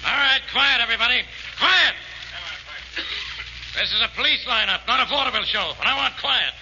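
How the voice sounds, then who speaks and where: An actor playing a brusque, hard-bitten police inspector in a 1940s radio drama, gruff and commanding as he interrogates. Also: extremely high pitch, lively intonation, fairly quick, sharp tone, exaggerated voice. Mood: barking orders. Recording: noisy muffled old radio broadcast.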